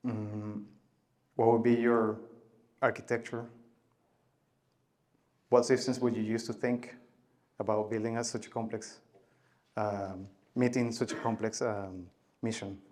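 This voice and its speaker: Man giving a halting tech talk. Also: on the low side, level tone. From Venezuela. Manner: halting, many filler sounds and restarts, loudness rising and falling. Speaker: conference speaker. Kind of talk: conference talk.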